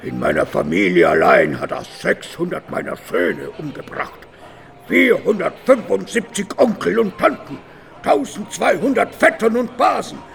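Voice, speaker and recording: An actor voicing a bitter, reproachful old talking tree in a radio play, creaky and grandly declamatory. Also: male voice, extremely high pitch, full character voice. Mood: bitter accusation. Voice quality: creaky, gravelly. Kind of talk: theatrical German radio play acting. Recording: studio radio play with music behind.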